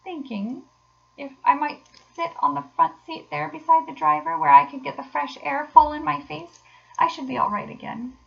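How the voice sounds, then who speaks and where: A woman's voice: sits high, normal speed, gravelly, muffled recording, voice pushed up. A woman voicing a sly toad who poses as a meek, feeble washerwoman, polite and wheedling, in a storybook.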